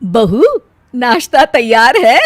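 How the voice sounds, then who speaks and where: A woman's voice: on the high side, lively intonation, slow, dry recording. A woman voicing an older mother-in-law in a story, easily exasperated, exclaiming and nagging with emphasis. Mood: amused.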